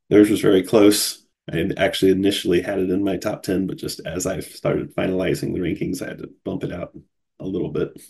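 A man's voice: sits low, vocal fry, a little mellow, slightly rough. A man from Cincinnati hosting a solo podcast in a casual, chatty way, sounding groggy from a cold. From Cincinnati, USA. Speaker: podcast host.

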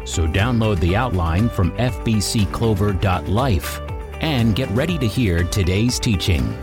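A man announcing a podcast's intro in a smooth, scripted read. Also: on the low side, animated, a little slow. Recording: music bed under voice.

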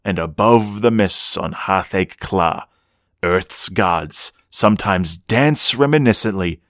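An actor playing a grave storyteller, narrating a gothic horror radio drama with solemn, theatrical, measured phrasing. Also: male voice, low-pitched, a bit bright, no room sound, heavily acted. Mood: solemn, ominous. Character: grave storyteller of an ancient dread legend.

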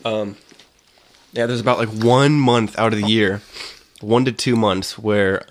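A young man co-hosting a casual podcast, relaxed and hesitant, with a stuffy nose from allergies. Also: fairly low pitch, unhurried. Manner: relaxed, laid-back, hesitant with fillers. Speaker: podcast co-host, coffee taster. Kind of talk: casual chat.

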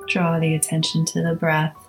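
A woman hosting a guided meditation, speaking in a soothing, measured way with long pauses between short phrases. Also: low-pitched, monotone. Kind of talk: slow guided meditation narration. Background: instrumental music.

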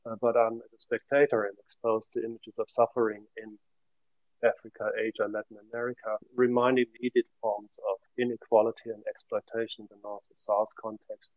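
A middle-aged man from Germany, an academic guest, giving reflective and measured interview answers that trail off at the ends of phrases. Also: lowish voice, flat delivery, a little mellow, no echo, poor audio.

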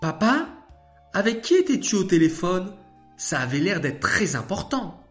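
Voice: mid-range voice, big pitch swings, normal speed, soft background music, pitched above the performer's own voice, close to an everyday voice. A storyteller voicing a curious, enthusiastic little girl who talks eagerly to her papa. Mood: curious, astonished. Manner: eager, exclaiming, astonished questions.

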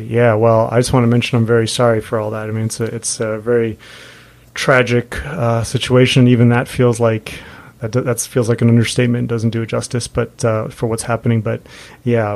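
Male podcast host for an interview show, mid-range voice, clear purpose in guiding the conversation. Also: medium pitch, level tone, a little fast, a little mellow. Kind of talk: interview and scripted introduction.

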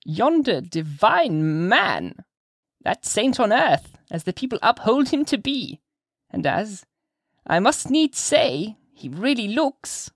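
A narrator voicing a sly, sinister old gentlewoman witch from a classic story, shrill and theatrical, with drawn-out archaic phrases. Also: sing-song, no echo, clean studio sound, full character voice. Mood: sly, insinuating. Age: older. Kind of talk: dramatised audiobook character reading.

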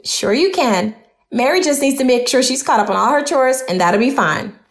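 A woman's voice: high-pitched, expressive, normal speed. A woman playing a courteous, protective mother in an audio drama. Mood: courteous, firm. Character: protective, courteous Southern mother, middle-aged.

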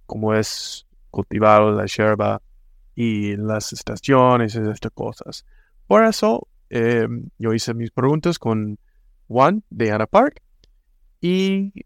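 American male podcast host, unhurried, halting, with restarts, dry close sound. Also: medium pitch, gravelly, clear, resonant tone, dry recording. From United States. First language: English. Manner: hesitant, frequent restarts and fillers. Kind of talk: casual podcast intro.

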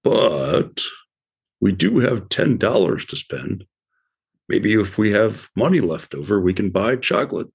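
A man voicing a sensible, patient male elephant in a children's cartoon, explaining things calmly. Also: lowish voice, unhurried, vocal fry, breathy edge, exaggerated voice. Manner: patient, explaining, mildly fussy.

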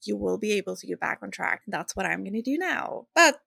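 A woman's voice: mid-range voice, lively intonation, a little fast, creaky, bright, forceful tone, breathy edge, no echo, studio quality. A woman with a Swedish accent, a non-native English speaker, hosting a casual solo podcast in an informal, hesitant way, searching for words. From Sweden. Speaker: podcast host and fitness coach.

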